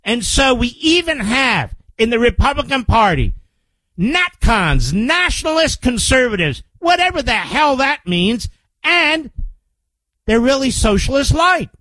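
A man's voice: very high-pitched, very animated, measured pace, pushed and bright, no echo. An older man with an American accent hosting a political talk radio show, emphatic and combative, repeating phrases to drive his point home. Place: radio studio. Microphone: close studio microphone.

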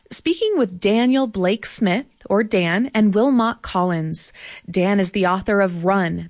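A woman radio host introduces her guests and credits her sponsors in a measured, clear read. The sound is dry and close, with no room around her. Her pitch sits in an ordinary middle range. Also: no room sound. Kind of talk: scripted radio introduction and interview questions.

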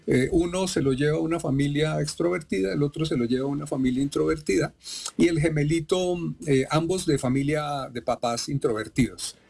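Creaky-voiced Bogotá man lecturing. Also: a little high, darkish tone. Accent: Bogotá Colombian. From Colombia. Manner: lecturing, emphatic, digressive. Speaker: doctor, expert guest. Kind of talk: interview explanation.

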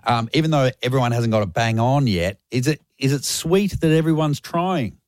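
A man's voice: mid-range voice, expressive, normal speed, no room sound, clean studio sound. A man hosting a radio show, bantering playfully with callers. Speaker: radio host. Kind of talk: casual chat with callers. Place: radio studio.

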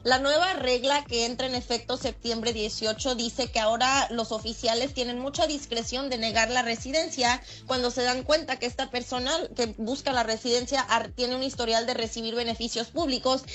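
A woman from Michoacán, a lawyer who is a radio guest, explaining legal rules in a steady, patient way. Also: on the high side, normal speed, hardly any pauses. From Michoacán, Mexico. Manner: steady and explanatory, laughs easily.

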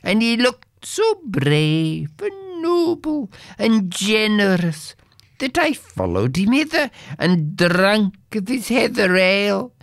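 A woman's voice: lowish voice, vocal fry, no room sound, studio quality, voice pushed up, exaggerated voice. A woman voicing a small bewitched goblin man, once mortal, with a whispery, wailing manner. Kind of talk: storybook reading.

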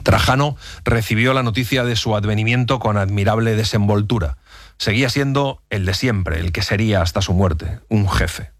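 Low-voiced man narrating a documentary. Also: moderate pace, no room sound, studio quality. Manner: even, authoritative storytelling. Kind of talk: scripted historical narration. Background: faint music.

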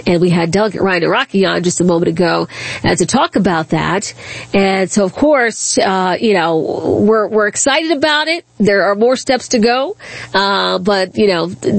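A woman's voice: mid-range voice, dry recording. A woman hosting a talk radio show, chatting casually with lots of fillers and restarts.

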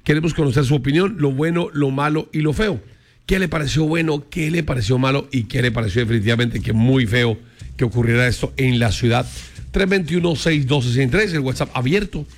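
Storytelling radio co-host. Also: male voice, medium pitch, fairly quick. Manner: storytelling, asks listeners questions. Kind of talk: morning radio talk.

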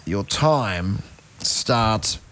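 A man's voice: fairly low pitch, animated, extremely slow, a bit bright. A man hosting a radio quiz, chatty and playful in his banter with a caller.

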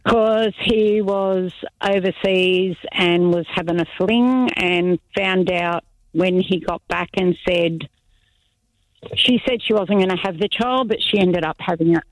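A woman's voice: on the low side, unhurried, no echo, poor audio. A woman, a radio caller giving a casual, matter-of-fact personal account.

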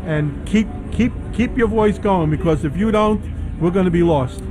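A man chatting outdoors as an interview guest, agreeable and halting, often repeating his words. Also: high voice, music in the background. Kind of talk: casual outdoor interview chat. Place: outdoors on a city avenue at a public event.

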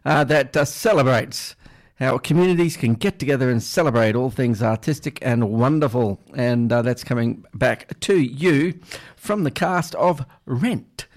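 A man's voice: medium pitch, a little slow. A man presenting community radio, rambling and hesitant as he introduces things off the cuff. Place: radio studio.

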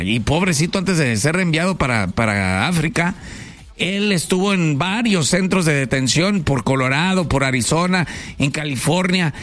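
A male radio host with a Mexican accent and a fairly high-pitched voice. He talks casually about the news, mixing in slang and loose banter. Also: fairly bright tone. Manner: slangy, conversational.

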